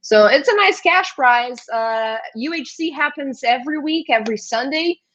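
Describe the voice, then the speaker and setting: A woman from Brazil whose first language is Brazilian Portuguese, hosting a livestream in a chatty, halting way with frequent hesitations. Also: high voice, expressive, fairly bright tone, lo-fi sound. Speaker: host.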